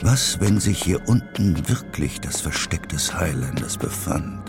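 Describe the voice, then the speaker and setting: A man's voice: deep voice, lively intonation, a little slow, creaky, music in the background. A man narrating a suspenseful audio drama as a storyteller.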